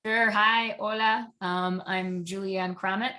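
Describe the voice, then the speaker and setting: A woman's voice: medium pitch, steady tone, slow pace, pushed and bright. A woman from Puerto Rico raised in Georgia, introducing herself on an online panel, upbeat with many ums. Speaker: panelist, consultant.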